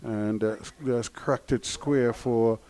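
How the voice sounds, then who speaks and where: Male cricket analyst, Guyanese-leaning accent, mellow dark tone, thoughtful delivery with chuckles. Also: mid-range voice, steady tone. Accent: Guyanese variation. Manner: measured, analytical, chuckles often. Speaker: cricket commentator. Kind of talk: live cricket commentary.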